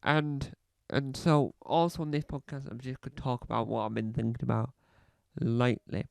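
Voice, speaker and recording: Man plays a pompous podcaster with a cough, halting and repetitive, dry close mic. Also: medium pitch, steady tone, creaky, no echo, close to an everyday voice. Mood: rambling. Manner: rambling, halting, fond of repeating phrases. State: slight cough. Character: self-important male celebrity podcaster, rambling. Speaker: comedy podcast host character. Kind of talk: scripted comedy monologue.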